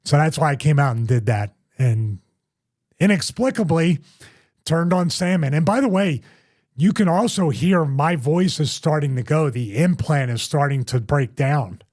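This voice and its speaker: A man's voice: fairly high pitch, expressive, normal speed, a little mellow. A middle-aged man hosting a casual podcast, telling long rambling stories with restarts and repeats. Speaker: host, former wrestler.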